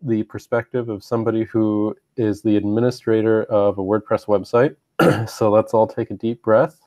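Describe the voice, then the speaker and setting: A man with a slight cold giving a live-streamed web training from his own room, halting with frequent ums and throat clearing. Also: lowish voice, fairly even intonation, mellow, no room sound. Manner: halting, many ums and uhs, clears throat often, self-deprecating. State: stuffy, getting over a cold. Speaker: university web team presenter. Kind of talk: live-streamed webinar presentation.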